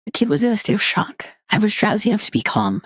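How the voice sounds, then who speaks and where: A woman narrates a creepy first-person horror story with a lively, wide-ranging delivery. Her voice sits close and dry, with no room sound around it, and she sinks low and hushed when the tension builds. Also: slightly rough, no room sound. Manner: measured storytelling, drops low and quiet for tense moments. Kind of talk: dramatic reading of a horror story.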